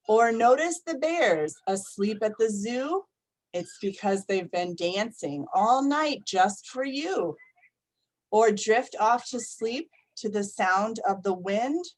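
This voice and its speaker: A woman reading picture books aloud to young children, expressive and deliberate, often putting questions to her listeners. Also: mid-range voice, poor audio. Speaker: storytime reader for young children. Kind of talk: animated picture-book read-aloud to children.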